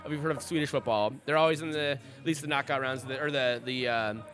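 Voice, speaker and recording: Rambling, swearing podcast host. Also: male voice, mid-range voice, fast, a bit bright, soft background music. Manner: rambling, profane, many fillers and restarts. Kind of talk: casual sports chat.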